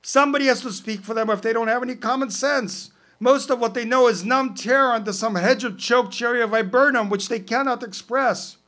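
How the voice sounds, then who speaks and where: A man's voice: very high voice, a little fast, few pauses, bright, forceful tone, pitched above the performer's own voice. A man reading a poem aloud, voicing a fussy, anxious male parrot in prim, indignant tones. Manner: worried, indignant, prim speech. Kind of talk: poetry reading, acted dialogue.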